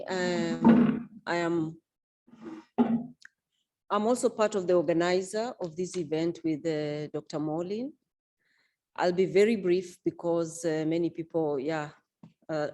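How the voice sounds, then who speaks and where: A woman speaks at a book launch with a low, mellow voice. She talks about her work in a measured, unhurried way and fills her pauses often with small hesitations. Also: fairly even intonation. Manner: measured, unhurried, with frequent fillers. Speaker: author and event organizer.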